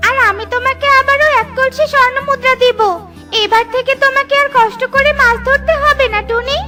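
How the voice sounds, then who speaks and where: A woman voicing a kind, grateful magical banana tree in a children's cartoon, speaking in a gentle, reassuring way. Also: very high-pitched, steady tone, a little fast, faint music behind, pitched above the performer's own voice. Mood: comforting. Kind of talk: children's cartoon story acting.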